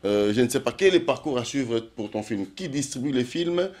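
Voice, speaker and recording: A man's voice: mid-range voice, expressive, a little fast, a little mellow. A man hosting a TV interview show, asking long questions full of hesitations and switching into Lingala now and then. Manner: lots of "euh" hesitations, mixes in Lingala. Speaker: TV show host.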